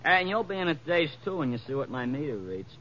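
Swooping, wisecracking cocky cabbie. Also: male voice, a little high, very animated, quick pace, a bit bright. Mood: breezy, teasing. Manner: slangy, breezy, teasing. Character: cocky, wisecracking city cab driver. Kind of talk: 1950s radio drama acting. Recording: dull, slightly noisy vintage radio recording.

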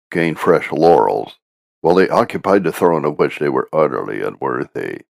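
A man with an extremely low, creaky voice narrates a history book. His reading is solemn and measured, formal in tone. The recording is close and dry. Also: dry recording. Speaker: audiobook narrator.

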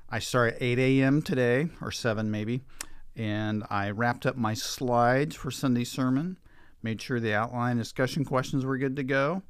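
A man's voice: mid-range voice, smooth, dry recording, studio quality. A man talking informally as a pastor and podcast host in a conversational interview.

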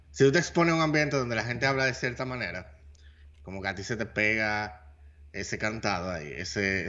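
Hombre dominicano, voz grave y apagada. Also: male voice, fairly low pitch, gravelly, fairly bright tone, highs cut off. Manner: loose and rambling, mixes in English words. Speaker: podcast co-host. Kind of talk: casual chat.

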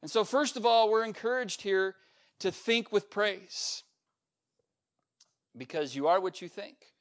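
Man, pastor, sermon, high-pitched, emphatic, rising and falling delivery. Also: lively intonation, measured pace. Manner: emphatic preacher with swings in pitch and loudness, repetitive refrain.

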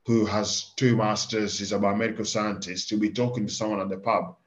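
Low-voiced man with an English accent, Kenyan-born. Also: a little fast. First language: Swahili. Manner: candid, animated storytelling. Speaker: farmer guest. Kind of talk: casual interview chat. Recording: dull lo-fi podcast recording.

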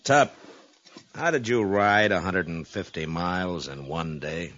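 Deep, laconic frontier marshal. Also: male voice, fairly bright tone. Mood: calm, firm. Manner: laconic, measured questioning. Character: calm, authoritative frontier town marshal. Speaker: lawman lead in a western drama. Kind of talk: 1950s radio western acting. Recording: dull, noisy old radio recording.

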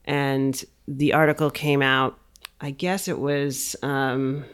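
Clear-voiced American woman, casual storyteller. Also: extremely low pitch, level tone, takes it slowly. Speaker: small business owner being interviewed.

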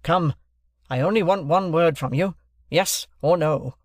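A man voicing a bullying, sarcastic gentleman who cross-examines in a hectoring, authoritative manner for an audiobook. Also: a little high, normal speed, no room sound, studio quality, lightly acted. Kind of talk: classic novel narration, character voice.

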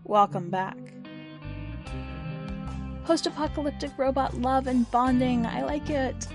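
A woman's voice: high voice, a little slow, over music. A woman reading scripted fiction and hosting a story podcast. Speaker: podcast host and story reader.

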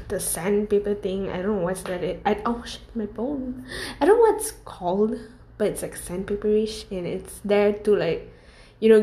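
A woman's voice: medium pitch, warm tone. A young woman telling stories casually, swearing freely, laughing often and wandering off into asides. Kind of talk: casual storytelling monologue. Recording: roomy echo.

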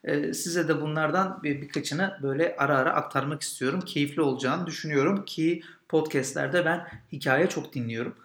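A man's voice: a little high, fairly quick, hardly any pauses, fairly bright tone. A man, hosting and telling stories in an informal, narrated style. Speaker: podcast host and storyteller. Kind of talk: casual intro and narrated legend storytelling. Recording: roomy echo with guitar music.

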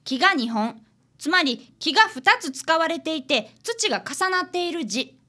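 A woman voices an eager fifth-grade boy in a detective radio drama. The voice is high, bright and animated. He is polite and earnest, with a Kansai accent, as he narrates and talks. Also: normal speed, exaggerated voice. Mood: earnest explaining. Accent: Kansai Japanese.

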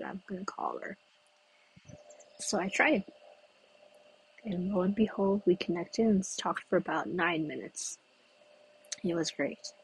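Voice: medium pitch, breathy edge, low-quality recording. A podcast host who grew up in the United States, chatting in a meandering way outdoors in a breezy spot where people pass by. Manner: chatty, meandering, thinks aloud with pauses. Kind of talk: rambling solo podcast chat.

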